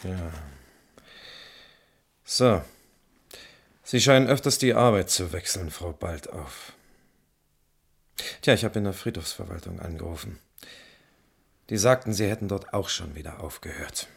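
Radio drama role: brusque, well-meaning policeman, lowish gravelly voice, probing and paternal. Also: measured pace, pauses often, full character voice. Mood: official, probing. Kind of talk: German radio play acting, official interview dialogue.

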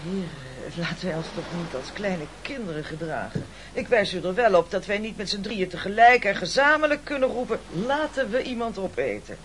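Bossy pompous gent stuck at sea, radio play, voice up and down a lot, noisy old recording. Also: male voice, high-pitched, lively intonation, normal speed, few pauses, fairly bright tone. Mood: pompous, calculating. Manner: pompous, declamatory. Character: domineering castaway gentleman, formal and coolly calculating.

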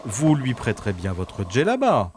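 A man's voice: medium pitch, wildly swooping pitch, normal speed, reverberant, long reverb tail, noisy background. An actor playing a composed special agent hero who narrates his own escape in the first person with dry, wry asides. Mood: calm. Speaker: lead character and narrator.

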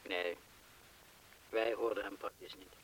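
Een acteur die in een hoorspel een gespannen, vastberaden inbreker speelt, kortaf en dringend, met een hese stem. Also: male voice, on the low side, steady tone, measured pace, slight background noise, poor audio. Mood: grim, determined. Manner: terse, urgent, hushed at times. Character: tense, determined burglar who knows bombs, loyal to mate. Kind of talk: Dutch-language radio drama acting.

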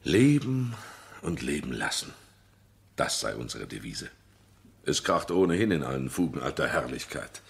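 An actor playing a worldly, cynical, jovial aristocrat in a radio drama, with a mocking, theatrical manner. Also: male voice, a bass voice, big pitch swings, normal speed, creaky, heavily acted. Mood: amused, worldly. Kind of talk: German radio drama acting.

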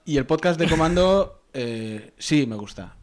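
A man co-hosting a casual podcast, reading listener mail in a quick, wry way. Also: fairly high pitch, expressive. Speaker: podcast co-host.

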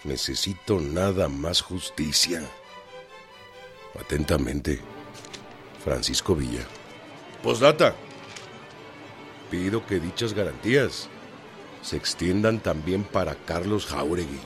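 Proud bitter mexican rebel, slow blunt talk, radio play with music behind. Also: male voice, deep voice, lively intonation. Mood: defiant, formal. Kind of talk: radio drama acting.

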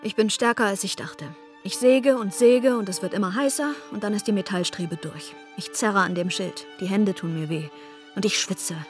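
A woman's voice: mid-range voice, moderate pace, soft background music, close to an everyday voice. An actress narrating as a determined woman in a radio drama, blunt and dogged in manner. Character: determined, sweating woman tearing down a wall. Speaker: first-person narrator.

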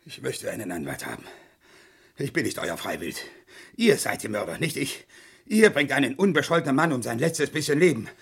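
An actor playing a sly, indignant old murder suspect in a German radio crime drama, agitated and bitter, with a sharp, creaky voice. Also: male voice, medium pitch, sing-song, brisk, exaggerated voice. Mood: defiant. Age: older. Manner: agitated, spitting, dropping to bitter hisses.

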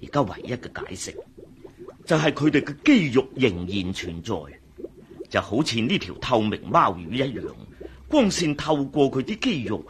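A man with a Hong Kong Cantonese accent playing a chatty, excitable doctor who explains things eagerly in a radio drama. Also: mid-range voice, normal speed, slight background noise, close to an everyday voice. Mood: pondering, earnest. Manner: chuckling, explanatory, animated. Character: male doctor, chatty, excitable, fond of explaining his pet fish. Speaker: voice actor.

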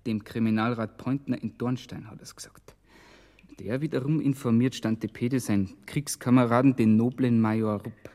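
An actor playing a shrewd, determined investigator with a Bavarian accent, explaining emphatically in colloquial dialect in a radio crime drama. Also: male voice, mid-range voice, dark tone, close to an everyday voice. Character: shrewd, determined male investigator piecing together a village conspiracy.

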